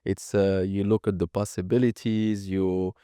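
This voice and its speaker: A man's voice: sits low, little pitch movement, moderate pace, dark tone, clean tone, no echo, studio quality. A man from Morocco hosting an interview, chatting supportively and giving brief affirmations. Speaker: podcast host. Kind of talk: conversational interview.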